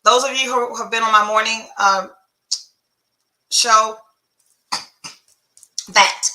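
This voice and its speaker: Profane, animated woman host, bright voice. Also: fairly high pitch, takes it slowly, roomy sound, low-quality recording. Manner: blunt, profane, animated storytelling. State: congested with phlegm and cough. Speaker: podcast host. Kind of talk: casual storytelling monologue.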